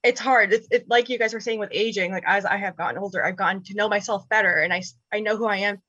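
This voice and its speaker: A young woman whose first language is Spanish, reflecting candidly and casually in a group discussion, thoughtful and halting, with easy laughter. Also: medium pitch, fairly even intonation, sharp tone, breathy edge, no echo, highs cut off. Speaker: panel guest.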